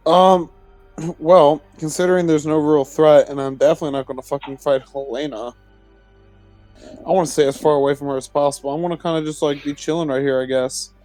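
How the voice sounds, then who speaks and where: A man's voice: a little high, fairly even intonation, a little fast, a little mellow, faint music behind. A man talking casually and slangily as a player in a tabletop roleplaying game.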